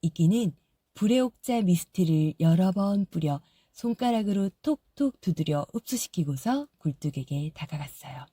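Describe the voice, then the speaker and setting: Husky low-ish woman reading a kids' story, mellow and dry. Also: lively intonation, moderate pace, dry recording. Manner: soft, gentle storytelling. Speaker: audiobook narrator. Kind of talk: scripted storybook narration.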